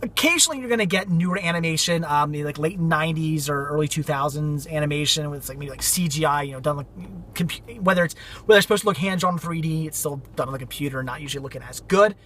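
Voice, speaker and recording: Man, high voice, bright tone, rambling and enthusiastic, losing his voice from a cold. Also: quick pace, a little hiss or hum. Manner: rambling, enthusiastic, repeats "very cool". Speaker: vlogger showing thrift finds. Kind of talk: casual vlog chat.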